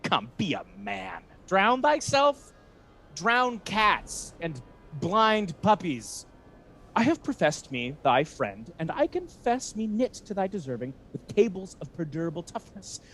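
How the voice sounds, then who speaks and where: A man's voice: high-pitched, very animated, a little slow, fairly bright tone, faint music behind, full character voice. A man playing a scheming, resentful Venetian ensign in a Shakespeare radio play, persuasive and theatrical. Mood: emphatic persuasion.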